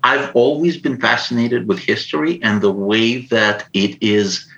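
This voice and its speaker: A man's voice: medium pitch, fairly even intonation, a little slow, fairly bright tone, highs cut off. A middle-aged man from Ukraine whose first language is Russian, a guest writer answering interview questions thoughtfully, with frequent hesitations and repeated words. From Ukraine, raised in the Soviet Union.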